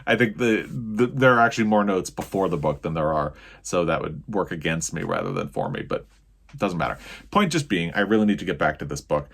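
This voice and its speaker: Deep-voiced American man chatting casually. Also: sing-song, fairly quick, gravelly, a bit bright. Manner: rambling, self-correcting. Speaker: book vlogger. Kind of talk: casual chat to camera about reading.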